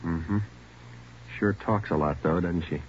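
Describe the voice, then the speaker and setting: An actor playing a terse, world-weary American police detective, clipped and matter-of-fact in 1940s radio crime drama style. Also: male voice, low voice, a little slow, slight background noise. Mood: dry, relaxed. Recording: muffled 1940s radio recording.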